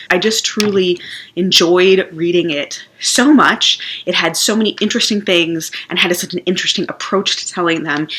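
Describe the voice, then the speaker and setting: Bright-voiced woman chatting about books. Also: fairly low pitch, slight background noise. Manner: chatty, thinks aloud with restarts. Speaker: book reviewer. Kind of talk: casual vlog book review.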